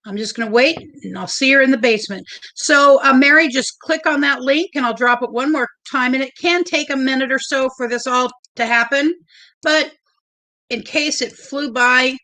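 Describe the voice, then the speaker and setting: Chatty high bright-voiced woman host. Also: normal speed. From Oregon. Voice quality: loud, big voice. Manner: chatty, nervous, effusive. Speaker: livestream host. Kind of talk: casual livestream chat.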